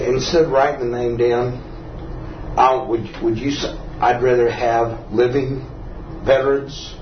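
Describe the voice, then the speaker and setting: An older man from Texas, telling a personal story as a veteran in an interview, in a rambling, halting, self-correcting way. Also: medium pitch. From Texas, United States. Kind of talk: personal oral-history storytelling. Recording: roomy, dull, faintly noisy, distant mic.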